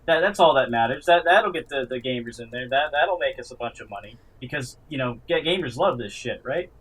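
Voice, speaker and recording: A man's voice: medium pitch, lively intonation, fairly quick, fairly bright tone, slightly rough, poor audio. A man co-hosting a podcast in casual chat, stammering and repeating the starts of his words. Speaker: podcast co-host.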